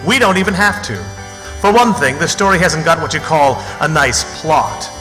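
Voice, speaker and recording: A man's voice: a little high, lively intonation, normal speed, over music. A man speaking as host and announcer of a live radio theatre show, introducing it from the stage. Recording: echoey theatre hall recording.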